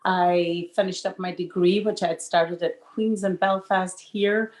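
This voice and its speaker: A woman, a lawyer telling stories warmly on a video call from home. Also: fairly low pitch, steady tone, unhurried, roomy sound. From Northern Ireland. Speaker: estate planning lawyer, guest. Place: home room with shelves. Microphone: video call webcam.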